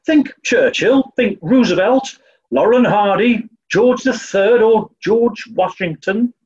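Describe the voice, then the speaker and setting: A man's voice: high voice, slow, a little mellow, highs cut off. A man playing a dim-witted, cheerful detective in a 1940s-style comedy radio play, theatrical and plummy. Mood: blithe.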